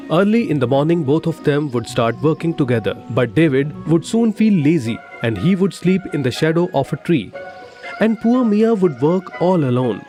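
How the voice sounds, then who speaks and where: A man's voice: on the high side, sing-song, normal speed, warm tone. A man narrating a scripted moral story as a storyteller. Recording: faint music behind the voice. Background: faint background music.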